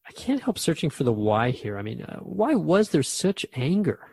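A man with a slightly high voice plays the questioning host in a radio drama. He reasons things through aloud in an inquisitive way, recorded close and dry. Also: very animated, normal speed, creaky, darkish tone, breathy edge, dry recording.

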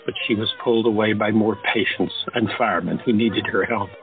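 Steady male narrator, lowish voice, phone-thin sound, soft music. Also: fairly quick, phone-quality sound. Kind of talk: scripted audio drama narration.

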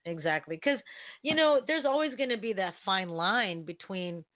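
A woman's voice: mid-range voice, animated, moderate pace, no room sound. Chatty woman on a tinny line. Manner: chatty, laughs often, trails off in restarts. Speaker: co-host. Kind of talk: casual online talk show chat. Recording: thin phone-like audio.

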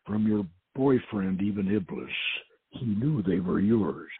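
A man's voice: lowish voice, animated, slow, vocal fry, slightly rough, exaggerated voice. A man voicing a scowling, wary Turkish colonel in an adventure story, with terse, snarling lines. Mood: grim, bitter. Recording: muffled phone-like lo-fi audio.

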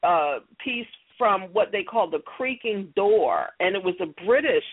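A woman's voice: medium pitch, lively intonation, measured pace, slightly rough. A woman telling stories casually as an interview guest, heard over a tinny telephone line. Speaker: interview guest, audio drama producer. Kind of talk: casual conversational storytelling.

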